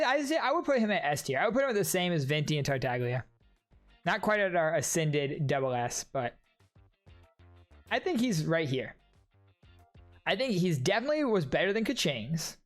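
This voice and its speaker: Excitable man reacting to game trailers. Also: a little high. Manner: excitable, slangy, gushing. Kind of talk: casual reaction commentary. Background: trailer music.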